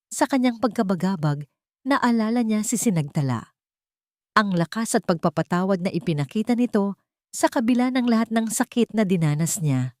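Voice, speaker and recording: A woman's voice: lowish voice, a little mellow, dry recording, studio quality. A woman narrating a scripted dramatic story as a storyteller.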